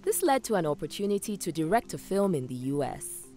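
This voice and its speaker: Female narrator, low-ish and very lively pitch, polished documentary read over clear music. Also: fairly quick. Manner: polished, expressive.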